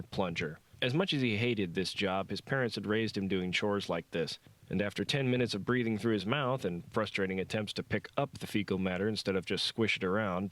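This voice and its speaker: A man narrates a novel in a scripted storytelling style. His voice sits on the low side, and the recording is dry and close, with very little room sound. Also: fairly bright tone, no echo. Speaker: audiobook narrator.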